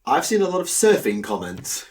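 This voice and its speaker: A young man co-hosting a casual podcast, talking haltingly with plenty of ums and uhs. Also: mid-range voice, sing-song, a little mellow. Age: young adult. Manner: hesitant, lots of ums and uhs. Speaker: podcast co-host. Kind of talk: casual chat.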